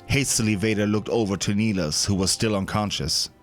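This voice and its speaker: A man's voice: fairly low pitch, brisk. A man reading scripted, dramatic narration for a science-fiction audio drama. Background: background music.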